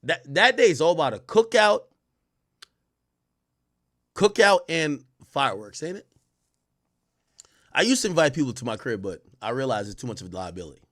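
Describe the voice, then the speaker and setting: A man's voice: mid-range voice, sing-song, moderate pace, long pauses, a bit bright. A man in his thirties, born in Jamaica, chatting loosely to his stream chat with slang and swearing, wearing a headset indoors. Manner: loose, rambling, profane, heavy slang. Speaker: livestream host. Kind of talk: casual livestream commentary to chat. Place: indoor home streaming room.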